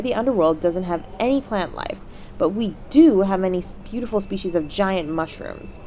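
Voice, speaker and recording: A cheery demon front-desk host, acted by a woman in an audio roleplay, speaking straight to the guest. The delivery swings up and down in pitch with bubbly, courteous sales polish. Faint ambient noise sits behind the voice. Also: mellow, lightly acted. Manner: bubbly, courteous customer-service patter. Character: cheery demon hotel receptionist, eager and polite, salesy. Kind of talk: scripted audio roleplay, addressing the listener as a guest.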